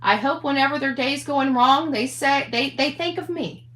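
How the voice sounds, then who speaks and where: A woman on a casual rant, speaking in a halting, repetitive, emphatic way. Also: sits high, fairly bright tone. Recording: echoey room sound.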